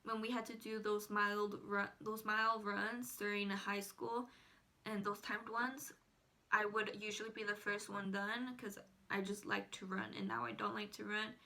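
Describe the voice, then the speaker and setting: Teen woman vlogger, spanish is her first language, bright voice, just chatting casually. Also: mid-range voice, level tone, moderate pace. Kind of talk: casual personal chat to viewers.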